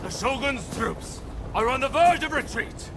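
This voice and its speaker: An actor playing a defiant samurai warlord in a video game, forceful and dramatic in delivery. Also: male voice, extremely high pitch, animated, moderate pace, very noisy. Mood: rallying shout. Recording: loud music over the dialogue. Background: loud music.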